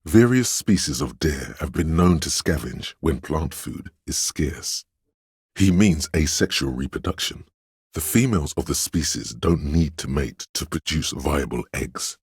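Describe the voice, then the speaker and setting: A man voicing an inner encyclopedia for a video game, a grave, detached, erudite narrator who states facts in a measured, deliberate way. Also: a bass voice, lively intonation, darkish tone, husky, no echo, clean studio sound, full character voice. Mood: learned, detached.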